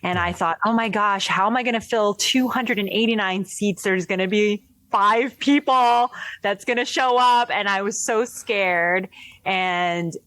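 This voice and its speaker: A young woman from the Philippines, a filmmaker guest chatting in an interview, lively and laughing, with many fillers and restarts. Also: mid-range voice, measured pace, no room sound. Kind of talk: casual interview chat.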